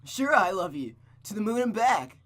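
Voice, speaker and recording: Man putting on a loud cocky surfer-boy suitor. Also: high-pitched, sing-song, moderate pace, pitched above the performer's own voice. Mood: ardent. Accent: surfer American.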